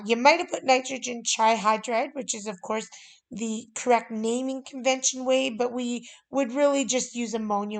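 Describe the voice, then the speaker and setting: A woman teaching a recorded chemistry lesson in a relaxed, conversational way with frequent fillers. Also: on the high side.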